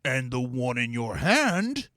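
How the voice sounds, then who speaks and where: A man voicing a theatrical, greedy male demon that talks in grandiose, scornful tones, with a strained, drawn-out delivery. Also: mid-range voice, big pitch swings, bright, forceful tone, dry recording, studio quality, heavily acted.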